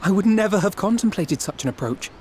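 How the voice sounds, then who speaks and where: An eloquent young scholar in a video game, polished and earnest. His voice is high for a man and very lively, with music underneath. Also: fairly quick, a little hiss or hum.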